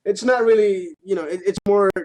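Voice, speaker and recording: A male gaming co-host with a noticeably high-pitched voice. He talks casually and haltingly, doubling back on his words and dropping in curses as he discusses play. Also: a little mellow, low-quality recording.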